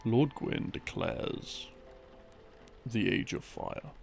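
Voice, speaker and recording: Slow, gravelly man rambling through lore. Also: fairly low pitch, expressive. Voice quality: creaky, gravelly. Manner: halting, musing delivery with pauses. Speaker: audio roleplay creator playing a boyfriend. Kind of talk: rambling storytelling, sleep-aid lore explanation. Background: background music.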